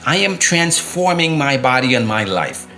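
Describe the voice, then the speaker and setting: A man's voice: medium pitch, very animated, moderate pace, pushed and bright, soft background music. A middle-aged man coaching viewers on fitness and mindset in an emphatic motivational talk, repeating key phrases and asking rhetorical questions.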